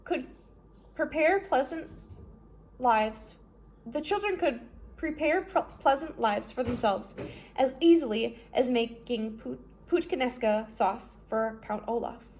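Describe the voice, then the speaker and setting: A woman's voice: fairly high pitch, animated, slow pace, darkish tone, a little hiss or hum. A woman narrating a children's novel as a read-aloud storyteller.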